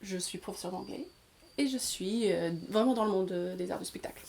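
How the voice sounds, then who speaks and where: A woman in her forties with a mellow, warm voice, guest on an interview. She tells her own story in a relaxed way, stopping often to search for words. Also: medium pitch, fairly quick, creaky. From Washington State, USA. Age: 40s. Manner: hesitant, many fillers, storytelling. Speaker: guest, English teacher and actress. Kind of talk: casual interview.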